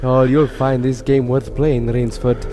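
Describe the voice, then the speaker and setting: Man acting a mellow, menacing aristocrat general. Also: medium pitch, flat delivery, unhurried, soft background music, close to an everyday voice. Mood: gloating. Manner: suave, theatrical, savoring his words. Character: genteel, menacing male aristocrat general who hunts men. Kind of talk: radio drama acting.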